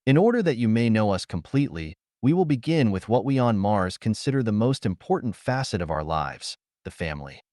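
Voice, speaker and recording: A serene Martian gentleman voiced by a man reading a story addresses listeners in a low, cultured tone. The speech is clear and solemn, recorded dry. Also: very animated, brisk, few pauses, no room sound. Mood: serene, stately.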